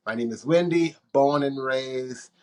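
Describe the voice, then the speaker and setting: A man from the Bahamas, probably in his thirties, chatting as an interview guest in a relaxed, rambling way with frequent restarts. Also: medium pitch, measured pace. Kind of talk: casual interview chat.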